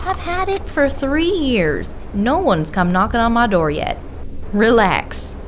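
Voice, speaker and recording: A woman playing a confident, bookish paranormal investigator in an audio drama, speaking in a dry, brisk, impatient way. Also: on the high side, sing-song. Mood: impatient, wry. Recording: clear background noise.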